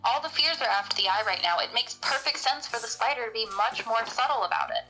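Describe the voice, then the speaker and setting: A woman's voice: fairly high pitch, animated, fast, sharp tone, low-quality recording. A woman in an in-character panel discussion, reasoning things out in a matter-of-fact way. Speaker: podcast panelist in character as a researcher. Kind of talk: semi-improvised in-character discussion of a horror audio drama. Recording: music playing behind the voice. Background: music.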